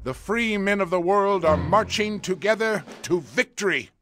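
An actor playing a calm, stern American army general giving measured, solemn speeches in a game cutscene. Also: male voice, high voice. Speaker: supreme commander. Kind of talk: video game cutscene acting. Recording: over background music.

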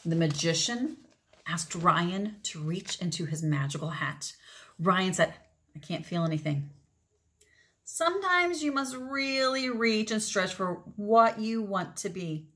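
A middle-aged woman reading a children's picture book aloud expressively, with deliberate phrasing and emphatic pauses. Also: mid-range voice, lively intonation, bright, forceful tone. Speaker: read-aloud storyteller.

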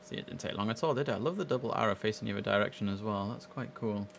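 A man's voice: on the low side, very fast, vocal fry, a little hiss or hum. A young man chatting casually and easily as he hosts a live gaming stream, speaking into a headset.

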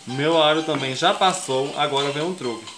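A man's voice: fairly high pitch, normal speed. A young Brazilian man hosting a casual home cooking tutorial, walking viewers through each step in a friendly, chatty way. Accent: Brazilian Portuguese. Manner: chatty, explains each step and keeps addressing viewers as folks. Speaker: cooking show host. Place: home kitchen, indoors. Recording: echoey kitchen with background music.

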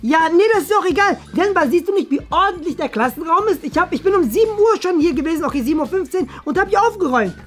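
A nerdy, eager schoolboy with an extremely high voice. He is fussy and pleading, hedging as he goes, in a comedy sketch with faint music behind him. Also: lively intonation, fast, few pauses, fairly bright tone. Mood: insistent. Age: young adult. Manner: fussy, pleading, hesitant with many hedges. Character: nerdy, eager schoolboy desperate to impress his father. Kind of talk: scripted classroom comedy sketch. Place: small bright classroom, indoors.

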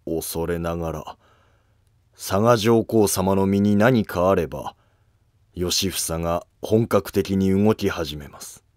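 A loyal, deferential nobleman , acted by a man in an animated period drama. He speaks formally in a low, unhurried voice, concerned and respectful. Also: no echo, studio quality. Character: loyal, deferential, concerned male court retainer. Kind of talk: Japanese historical animated drama acting.

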